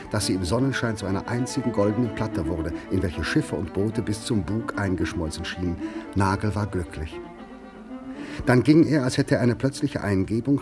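Deep-voiced male radio drama narrator. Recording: music behind the voice. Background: music.

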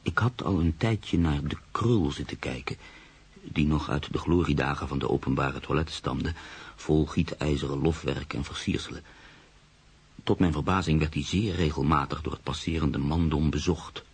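Very deep, gravelly brooding man. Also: full character voice. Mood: reflective, uneasy. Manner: measured, literary, pensive. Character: brooding, sceptical man narrating his own uneasy story. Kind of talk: radio drama narration and dialogue.

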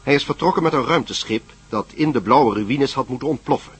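Man, radio play narrator, measured dramatic recap, mid pitch, faint music behind. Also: slightly rough.

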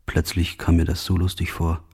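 An actor playing a haunted, guilt-ridden killer in a radio drama monologue, brooding and measured, dropping into breathy near-whispers. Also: male voice, low voice, monotone, a little mellow. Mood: numb, eerie.

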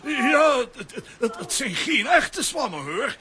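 A man's voice: very high-pitched, sing-song, unhurried, sharp tone, exaggerated voice. A man in a children's radio play voicing a dithering, pompous scientist who stammers and hesitates.

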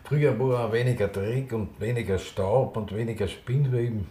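An actor playing a teasing, nostalgic older Austrian former club owner in naturalistic radio drama dialogue. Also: male voice, medium pitch, moderate pace, warm tone, close to an everyday voice. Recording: echoey room.

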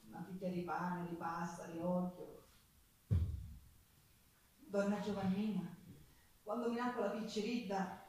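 A woman's voice: mid-range voice, slight background noise, lightly acted. An actress playing a poor, fierce Sicilian working woman who recounts her hard life in an earthy, dramatic stage monologue with a Sicilian accent. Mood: bitter, wistful. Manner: dramatic, earthy storytelling in dialect-laced speech. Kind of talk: theatrical monologue with folk singing. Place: theatre performance, with guitar music behind. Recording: echoey theatre stage, distant microphone.

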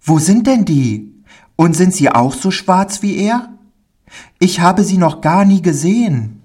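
A man voicing a timid, high-pitched little village boy. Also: animated, takes it slowly, a little mellow, slightly rough, exaggerated voice. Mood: astonished. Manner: anxious, naive, dialect-tinged childlike speech. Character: timid, wide-eyed little village boy with childlike eagerness.

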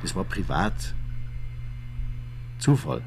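An actor playing an easygoing small-town police desk officer, chatting in colloquial Bavarian as in a radio drama. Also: male voice, deep voice, big pitch swings, takes it slowly, creaky, noisy background, exaggerated voice. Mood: gossipy. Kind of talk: naturalistic radio drama dialogue.

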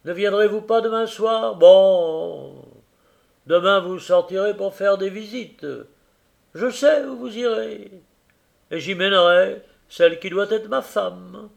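A man reading aloud and voicing a sardonic, quick-tongued male toy merchant in a storybook. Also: sits high, vocal fry, pitched above the performer's own voice, exaggerated voice. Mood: smug. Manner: quick, mocking, brusque.

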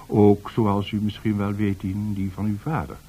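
An actor playing a courteous, deferential bank director in a radio drama, with a husky voice and formal manner. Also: male voice, fairly low pitch, little pitch movement, normal speed, dark tone. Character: courteous, flattering bank director seeking help. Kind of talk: radio play acting.

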